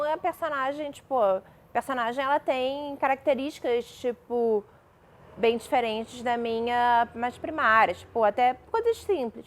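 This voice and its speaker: A young woman from Brazil, a novelist guest in an interview, talking casually with many fillers and restarts. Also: a little high.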